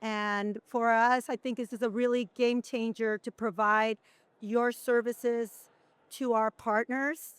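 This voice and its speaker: A woman's voice: a little high, flat delivery, slow, smooth, no room sound. A middle-aged woman co-hosting a podcast interview in a conversational way. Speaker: podcast co-host.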